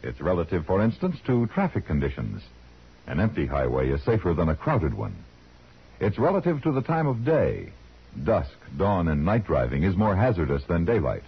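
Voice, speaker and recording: An American-accented man announces formally on 1950s radio. His voice is very husky, with wide, animated intonation and measured phrasing. The recording has the dull tone of a vintage broadcast. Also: low voice.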